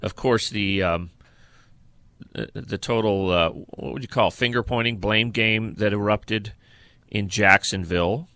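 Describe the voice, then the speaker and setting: A man co-hosting a sports podcast in casual football talk, haltingly searching for details as he goes. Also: lowish voice, pushed and bright, no echo.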